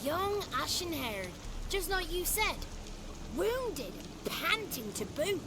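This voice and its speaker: A woman's voice: very high voice, sing-song, slow pace, background noise, heavily acted. A woman voicing a crude, playful little boy creature in a video game, speaking in a wordy, theatrical way. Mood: boastful storytelling. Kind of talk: video game character acting.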